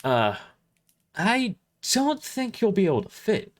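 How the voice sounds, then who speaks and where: A man's voice: high voice, huge pitch swings, slow pace, voice pushed up, exaggerated voice. A man voicing a bold, stubborn and loyal lady fighter in an audio drama, speaking informally and brashly. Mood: awkward.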